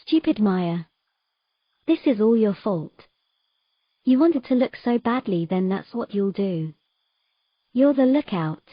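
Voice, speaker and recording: A woman's voice: medium pitch, lively intonation, takes it slowly, long pauses, dry recording, muffled recording. A woman playing a vulnerable, self-blaming young mermaid in an earnest, pleading way for an audio fantasy romance. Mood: self-reproachful.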